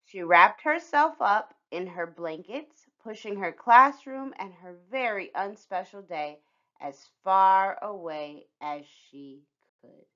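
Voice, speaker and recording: A woman's voice: medium pitch, lively intonation, slow, bright, forceful tone, highs cut off. A woman reading a children's picture book aloud as a scripted storyteller.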